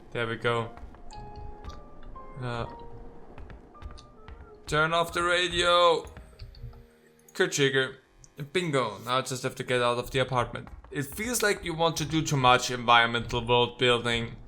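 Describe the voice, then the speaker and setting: Guy doing a lets play, sharp bright voice, reads text then nitpicks, bit of music behind. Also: medium pitch, measured pace, pauses often. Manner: nitpicking, exclamatory asides between read lines. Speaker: video game streamer. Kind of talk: let's play commentary, reading game dialogue aloud.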